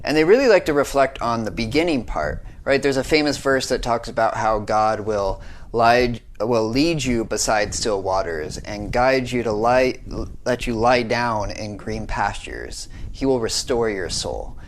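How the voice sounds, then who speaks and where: A man's voice: mid-range voice, unhurried, a little hiss or hum. A young American man giving a short devotional talk in an earnest, conversational way, often checking in with "right?". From United States.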